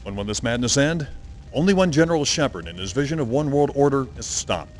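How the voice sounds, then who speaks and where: A male actor plays a grave television field reporter in a game cutscene. His mid-pitched delivery is theatrical and solemn, built for propaganda. Faint outdoor-report noise sits behind him. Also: lively intonation, fairly quick, close to an everyday voice. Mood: grave, indignant. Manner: solemn, melodramatic. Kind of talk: dramatic television news report.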